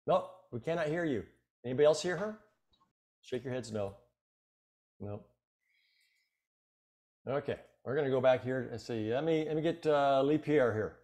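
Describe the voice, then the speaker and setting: A man's voice: medium pitch, lively intonation, normal speed, pauses often, a little mellow. An older man hosting a video call. He talks in a directing, organizing way as he calls on each speaker in turn. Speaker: meeting host.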